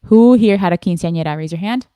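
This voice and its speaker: A young woman co-hosting a relaxed podcast, steering the talk with animated, chatty energy. Her voice is smooth and on the lower side, recorded close and dry. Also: quick pace, dry recording. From Panamanian family. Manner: animated, leads the discussion, switches into Spanish for quotes. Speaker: podcast co-host. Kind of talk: casual podcast chat.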